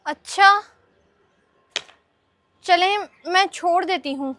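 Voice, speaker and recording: A woman's voice: very high voice, takes it slowly, sharp tone, full character voice. A female performer playing an obedient, trusting, helpful young girl in an acted moral-story skit. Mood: hesitant, helpful.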